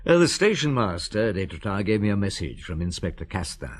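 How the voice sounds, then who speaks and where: An actor playing a middle-aged, shrewd police inspector who also narrates the radio drama, asking calm, measured questions. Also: male voice, lowish voice, slight background noise. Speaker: narrator and detective.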